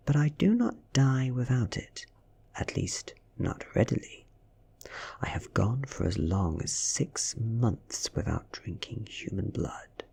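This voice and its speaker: A British man reads a story in a hushed ASMR style. His voice is very breathy and whispery, sitting low in pitch. He takes his time with each phrase, slow and deliberate. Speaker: audiobook reader. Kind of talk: soft-spoken ASMR story reading.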